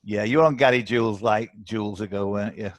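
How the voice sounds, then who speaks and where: A man's voice: fairly low pitch, fast talker, no room sound, clean studio sound. A man hosting a live online quiz, chatty and encouraging as he reads questions aloud. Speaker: quiz host. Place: online video call.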